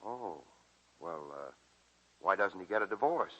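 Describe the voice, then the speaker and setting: An actor playing a folksy, loyal, plain-spoken small-town suitor in a 1940s radio drama. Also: male voice, deep voice, lively intonation, measured pace, fairly bright tone, breathy edge, exaggerated voice. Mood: devoted, subdued. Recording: muffled vintage 1940s radio broadcast.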